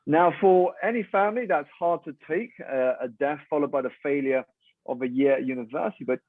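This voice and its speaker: A man, a guest speaker and coach, telling his story in a measured, articulate and reflective way. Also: fairly high pitch, no room sound. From United Kingdom. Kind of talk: calm interview storytelling. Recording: dry tinny phone-like line.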